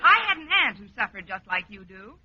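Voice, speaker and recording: Old muffled noisy radio sitcom, woman acting sardonic schoolteacher, wisecracking, very varied pitch. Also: close to an everyday voice. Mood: placating. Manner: sardonic asides, drawn-out deadpan quips. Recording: muffled old radio recording, loud noise, clicks.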